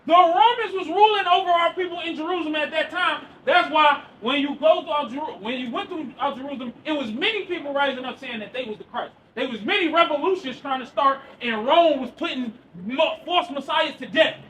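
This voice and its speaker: A man preaching loudly outdoors on a city street. He quizzes the crowd with questions and then answers them himself. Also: very high voice, fairly quick, hardly any pauses. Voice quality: loud and projecting, near yelling. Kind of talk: loud open-air street preaching. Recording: far from the mic with street noise.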